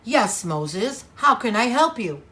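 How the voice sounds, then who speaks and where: Female storyteller doing a deep-voiced, obstinate king for a kids' story. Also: very animated, slow pace, fairly bright tone, exaggerated voice. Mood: stern. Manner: deep gruff put-on voice. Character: stubborn haughty male king.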